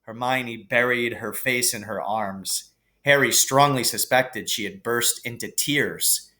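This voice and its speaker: A man reading a children's novel aloud as an expressive storybook narrator. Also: mid-range voice, slow pace.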